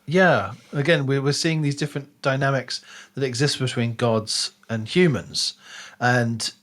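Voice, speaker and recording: A man co-hosting a podcast, talking through a book in casual discussion and retelling it in an animated way. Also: mid-range voice, a little slow. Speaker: podcast co-host.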